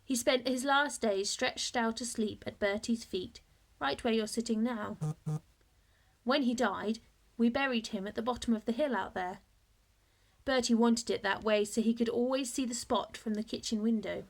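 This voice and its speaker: A woman narrates a children's story with a fairly high voice. She reads like a teacher, measured and expressive, telling it in the first person. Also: creaky. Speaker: teacher reading aloud.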